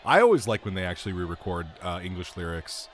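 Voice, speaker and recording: A man's voice: deep voice, big pitch swings, quick pace. A man giving casual, conversational gameplay commentary as a streamer. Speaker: gaming streamer. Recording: game music and crowd noise behind.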